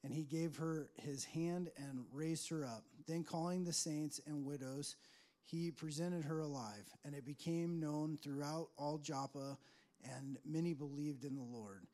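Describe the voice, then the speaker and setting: A man's voice: a little high, measured pace, mellow. A man reading a Bible passage aloud to a congregation at a church service. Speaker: scripture reader at a church service.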